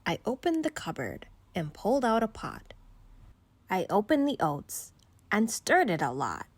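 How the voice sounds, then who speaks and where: A woman reading a rhyming children's bedtime story aloud in an expressive storytelling style. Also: medium pitch, measured pace, husky, no echo.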